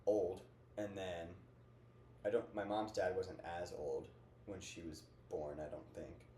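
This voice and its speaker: A man's voice: deep voice, a little slow, warm tone. A young man in his twenties hosting a casual podcast chat, rambling and upbeat, full of fillers. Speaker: podcast host. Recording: echoey room with faint noise.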